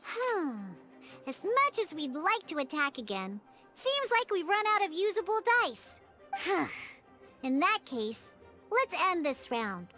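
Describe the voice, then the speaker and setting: A woman playing a small, bubbly, childlike girl companion in a video game, with a squeaky voice and a perky, encouraging way of explaining. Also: big pitch swings, slow, over music, full character voice. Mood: mildly let down. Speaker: game tutorial guide. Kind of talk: animated video game character tutorial dialogue.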